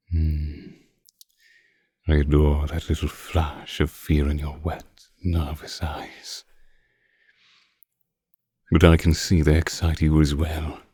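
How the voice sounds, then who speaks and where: A man playing a seductive, possessive vampire in an intimate ASMR roleplay, teasing his captive with condescending tenderness in a slow purr. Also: rumbling low, warm tone, husky, no echo, clean studio sound, full character voice. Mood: predatory, teasing.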